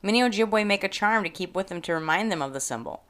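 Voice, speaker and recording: A woman with an American accent hosting a podcast, reading scripted personal narration in an expressive, emphatic way. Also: medium pitch, fast. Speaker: podcast host.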